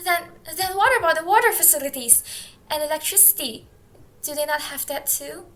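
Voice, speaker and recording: A woman playing a worried, sleepless young girl in a radio drama, speaking hesitantly and haltingly. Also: sits high, a bit bright. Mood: anxious. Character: worried, sleepless young girl fretting over her friend.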